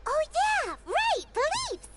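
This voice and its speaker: A woman voicing a tiny, excitable childlike fairy companion in a video game, with a squeaky, chirpy delivery. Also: slow pace, very noisy, full character voice. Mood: chirpy, thoughtful. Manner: chirpy, speaks of self in third person.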